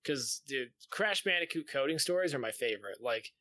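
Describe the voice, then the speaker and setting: Man, podcast co-host, bright tense tone, dry sound, slangy, exclamatory, sweary, casual chat. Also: medium pitch, expressive, brisk, no echo. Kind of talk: casual chat, animated storytelling.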